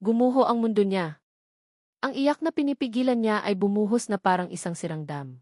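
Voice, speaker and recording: Filipina woman narrating a dramatic story, solemn n measured, mid pitch, super dry close recording. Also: darkish tone, no room sound.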